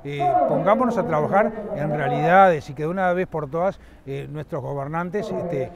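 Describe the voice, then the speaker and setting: A man's voice: on the high side, crowd noise. A middle-aged man with a Uruguayan accent, the president of a rural association, giving an outdoor interview with frequent fillers and restarts. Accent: Uruguayan Spanish. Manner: halting, many fillers and restarts. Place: outdoors at a livestock fairground.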